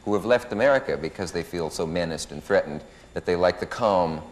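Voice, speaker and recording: A man hosting a talk show, asking questions in a conversational, animated way. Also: low voice, brisk. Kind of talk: interviewing.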